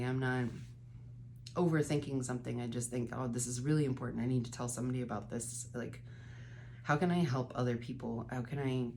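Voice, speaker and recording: A woman's voice: very deep voice, slight background noise. A woman in her thirties with a stuffy, congested nose, candidly rambling through a late-night vlog from her bedroom, sometimes swearing. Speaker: vlogger.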